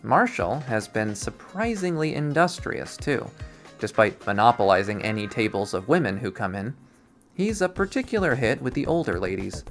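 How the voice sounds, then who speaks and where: A streamer narrating a visual novel readthrough in an expressive, performative way. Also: mid-range voice, normal speed, faint music behind. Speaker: video game readthrough streamer.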